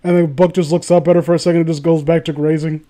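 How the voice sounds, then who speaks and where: High-voiced man, sarcastic read-aloud host. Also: flat delivery, fast, dark tone. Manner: sarcastic, incredulous, swearing. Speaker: host reading a story aloud. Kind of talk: comedic read-aloud with riffing commentary.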